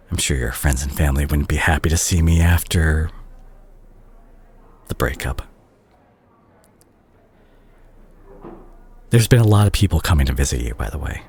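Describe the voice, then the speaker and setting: A man playing a remorseful, tender doctor ex-boyfriend in an intimate audio roleplay, speaking softly and hesitantly to the listener. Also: very deep voice, breathy edge, full character voice. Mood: confessional, wistful. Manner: hesitant, gentle, self-conscious, sighing. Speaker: audio drama voice actor.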